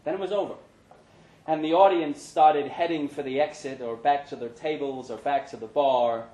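A man's voice: a little high, steady tone, moderate pace, warm tone. A man giving a scripted narration of a short story at a live reading indoors. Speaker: reader of short fiction. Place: pub event, indoors. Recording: echoey live room.

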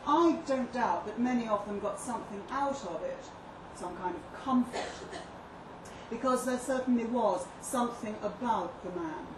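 Woman acting out earnest female author, articulate, measured monologue, distant mic, noisy echoey venue. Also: on the high side, lightly acted. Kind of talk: dramatic monologue read live. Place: live reading in a pub venue. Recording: distant echoey live room, noisy background.

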